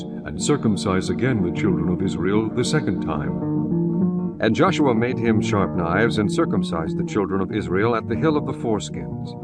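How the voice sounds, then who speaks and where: A man narrating scripture in measured, steady King James English. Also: fairly low pitch. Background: guitar music.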